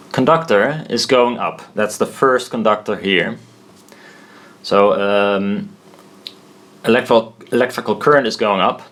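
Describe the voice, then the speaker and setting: Low-voiced man explaining slowly, thinking aloud. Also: a bit bright. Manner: halting, thinking aloud, self-correcting. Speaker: presenter of a hobbyist explainer video. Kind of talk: informal hand-drawn technical tutorial.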